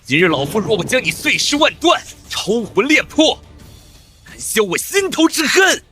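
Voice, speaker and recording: A man voicing an arrogant, wrathful old cultivator, pompous and menacing, in a fantasy animated comic. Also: very high-pitched, normal speed, husky, soft background music, full character voice. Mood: vengeful. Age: older.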